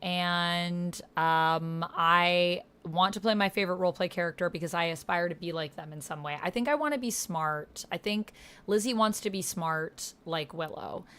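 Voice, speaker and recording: A woman with a slightly low, smooth and very clear voice talks casually through an online roleplaying game. She explains and reads aloud in an informal way, as if thinking out loud while she plays. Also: normal speed. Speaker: host and player of an online text roleplaying game. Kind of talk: casual explanatory chat while playing, with some reading aloud.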